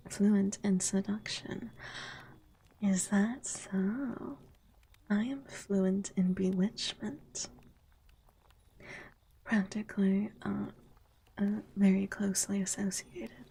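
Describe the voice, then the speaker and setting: Slow husky whispering woman. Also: mid-range voice, steady tone, rough recording. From United States. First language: Spanish. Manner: soft whispering, reading chat aloud, giggly. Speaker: VTuber streamer. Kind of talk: whispered ASMR stream chat.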